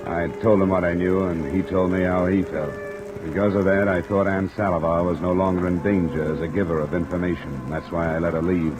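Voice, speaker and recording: An actor playing a tough, weary American insurance investigator in terse 1950s detective radio drama style. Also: male voice, deep voice, little pitch movement, a little fast, over music, close to an everyday voice. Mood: grim narration. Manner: terse, hard-boiled delivery. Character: tough, weary male insurance investigator narrating his case. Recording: old radio recording under rain noise.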